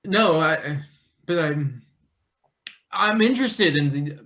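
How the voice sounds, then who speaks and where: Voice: mid-range voice, expressive, takes it slowly, a bit bright. A performer playing a nervous, sarcastic young intern held captive, stammering and wisecracking in a satirical play reading. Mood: nervous, pleading. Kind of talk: live play table read, satirical stage acting.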